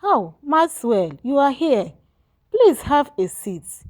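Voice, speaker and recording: Woman reading as a persuasive male friend, animated. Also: high voice, slow, heavily acted. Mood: welcoming, pleased. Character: pragmatic, persuasive male friend, cynical about women. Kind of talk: storybook dialogue.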